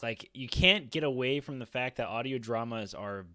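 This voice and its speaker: A man's voice: mid-range voice, normal speed, sharp tone, no room sound. A man in his thirties talking casually and in a rambling way as a podcast co-host, close on a studio mic. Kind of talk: casual roundtable discussion. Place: small home room, indoors. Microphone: boom-arm studio mic up close.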